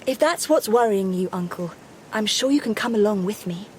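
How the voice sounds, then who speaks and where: A woman's voice: medium pitch, expressive, moderate pace, a little hiss or hum, natural voice, low-quality recording. A woman playing an earnest, determined young Victorian wife in a radio drama, speaking in an articulate, intense way. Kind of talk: period radio drama acting.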